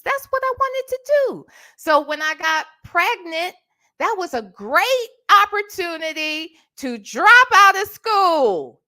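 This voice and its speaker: A middle-aged American woman from Ohio telling stories casually as a livestream host, emphatic and sassy, often checking in with the listener. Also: sits high. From Ohio, United States. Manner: animated, emphatic, sassy, conversational with tag questions. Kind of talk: casual storytime chat.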